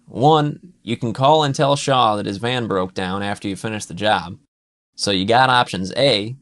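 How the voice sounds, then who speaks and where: A man voicing a rowdy, profane male work buddy who talks loud and pushy. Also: fairly low pitch. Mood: mocking.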